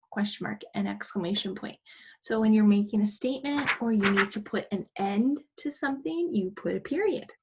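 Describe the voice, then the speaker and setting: Woman teacher with a slightly husky voice. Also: fairly high pitch, darkish tone, rough recording. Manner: simple, deliberate phrasing with rhetorical questions and acted-out examples. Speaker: elementary English teacher. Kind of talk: children's lesson, explaining to young pupils.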